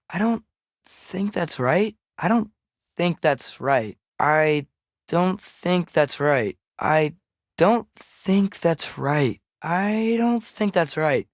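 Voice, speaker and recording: A man's voice: on the high side, no room sound, clean studio sound. A young male actor playing a curious, watchful teenage boy adventurer in a video game, giving short companion callouts. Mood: doubtful, musing.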